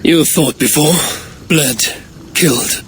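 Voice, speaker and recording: An actor playing a steady, blunt Viking warrior leader in a video game cutscene, speaking tersely and evenly. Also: male voice, a little high, measured pace, slight background noise, close to an everyday voice. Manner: terse and level.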